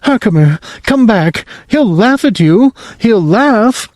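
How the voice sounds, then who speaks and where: Fretting peasant mum, high and pleading, a man putting her on. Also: animated, slow, darkish tone, dry recording, clean studio sound. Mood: worried. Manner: fretful, pleading. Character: anxious poor peasant mother.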